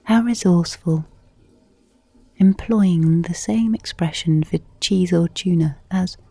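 Slow, hushed woman narrating softly. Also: lowish voice, slightly rough, no room sound. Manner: slow, hushed, measured delivery, often near whispering. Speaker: narrator and performer of an audio playlet. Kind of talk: intimate scripted literary narration.

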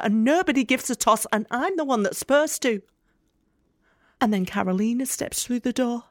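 A woman's voice: on the high side, dry recording, studio quality, lightly acted. A woman acting a bitter young working-class carer in an audio drama monologue, wry, blunt and sarcastic. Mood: guilty, shaken. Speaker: actor in an audio play.

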